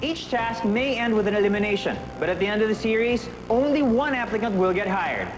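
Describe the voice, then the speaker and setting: A man hosting a reality TV show, reading crisp scripted announcements. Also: sits high, animated, fairly quick, roomy sound, over music, rough recording. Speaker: host.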